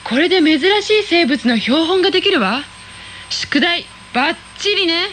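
A sassy teenage schoolgirl with a high, chatty voice and a quick, cheeky way of talking, as a woman acts her out. Faint background noise sits under the lines of this radio play. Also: animated. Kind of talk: radio drama acting.

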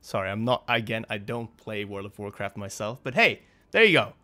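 Bright-voiced young man, casual gaming host. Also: fairly low pitch, lively intonation, brisk. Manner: hesitant, rambling, apologetic. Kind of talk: casual off-the-cuff commentary.

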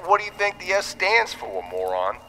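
Cocky soldier dude, slangy wisecracks, super swoopy delivery, big reverb, bit of music under. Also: male voice, high-pitched, very animated, moderate pace, pushed and bright, heavily acted. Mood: mocking. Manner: mocking, slangy banter. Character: cocky, teasing soldier, a wisecracking demolitions man. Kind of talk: audio drama acting.

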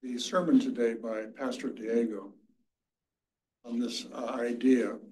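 An older man in a church class making a measured, reflective comment from his seat. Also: medium pitch, steady tone, a little mellow, poor audio. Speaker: class member. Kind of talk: comment from the audience.

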